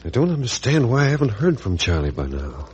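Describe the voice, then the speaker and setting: An American actor playing an earnest, stubborn, troubled physician husband in a 1940s radio drama. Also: male voice, mid-range voice, sing-song, a little fast, a little mellow, husky, muffled recording. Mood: weary, troubled. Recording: old 1940s radio recording.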